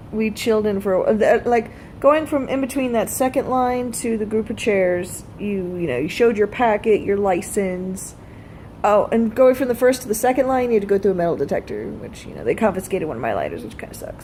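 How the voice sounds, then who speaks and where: A woman's voice: medium pitch, a little mellow, slight background noise. A woman telling a personal story in a casual vlog style, blunt and freely swearing. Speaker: vlogger.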